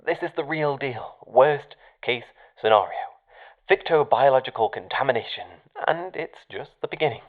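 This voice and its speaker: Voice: medium pitch, moderate pace. An actor playing a polite, evasive scientist with a hesitant, understated, formal manner over a radio channel in an audio drama. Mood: uneasy, polite. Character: evasive, polite, dryly calm scientist in charge of an experiment. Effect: radio channel filter. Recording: thin, phone-like radio channel.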